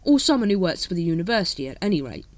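A woman's voice: on the low side, very animated, rapid-fire, dry recording. A woman voicing a stubborn, dryly evasive male watch captain in an audiobook, with stiff replies. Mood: earnest, reasoning. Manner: stiff, clipped, automatic replies. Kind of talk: audiobook character voice.

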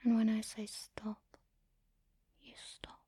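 A woman speaking in an intimate, commanding and teasing erotic ASMR style, in a breathy whisper close to the mic. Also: a little high, monotone, slow pace, warm tone, no echo. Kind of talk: erotic ASMR, guided audio roleplay.

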